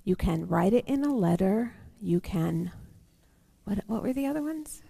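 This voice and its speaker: A middle-aged woman reading a picture book aloud to children, expressive and lingering on words, with conversational asides. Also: mid-range voice, a little slow, creaky, breathy edge. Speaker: church storyteller for children. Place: large church sanctuary, seated indoors. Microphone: headset microphone. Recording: church hall reverb.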